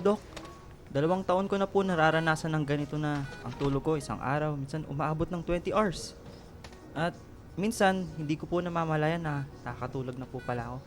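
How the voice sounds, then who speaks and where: Hesitant, higher-voiced anxious young man, played by an actor. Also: natural voice. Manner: hesitant, polite. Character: Jade, earnest, anxious young male patient. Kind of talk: radio drama dialogue.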